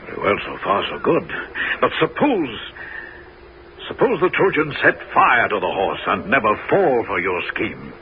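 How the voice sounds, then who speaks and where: A skeptical Greek commander in an old radio play. He questions every plan with a lively, swooping delivery in a lowish voice. The broadcast sound is dull and dated. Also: moderate pace, a little hiss or hum. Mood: doubtful. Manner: doubtful and questioning. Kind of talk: 1970s radio drama acting.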